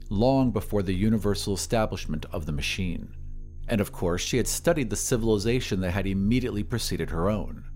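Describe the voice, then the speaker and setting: A man giving a measured, deliberate and expressive reading of a literary story as a scripted dramatic narration. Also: fairly low pitch. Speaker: narrator of an audio story podcast.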